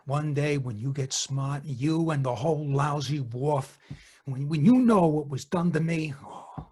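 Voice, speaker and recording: Male actor as bitter, cowardly radio-drama fisherman: husky, slightly high, rambling, stammering. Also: unhurried, a little mellow. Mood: bitter muttering. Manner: rambling, stammering, muttering threats. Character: bitter, cowardly, vengeful crab fisherman, panicky. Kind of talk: 1940s radio drama acting.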